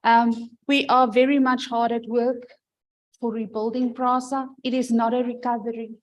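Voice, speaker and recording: Middle-aged woman presenter, loud and emphatic, slightly high voice. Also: little pitch movement, normal speed, lo-fi sound. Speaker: conference presenter. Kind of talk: conference presentation.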